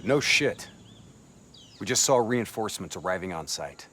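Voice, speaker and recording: A man playing a cynical, sarcastic special forces veteran in a video game, blunt and gruff in manner. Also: lowish voice, animated, normal speed, fairly bright tone, slight background noise. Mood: sarcastic, grim.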